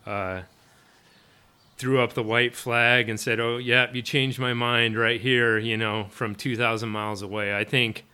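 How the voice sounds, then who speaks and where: A man's voice: on the low side, sharp tone, clear, resonant tone. A man in a casual interview, a firefighter speaking reflectively, rambling with fillers and restarts. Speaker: firefighter guest.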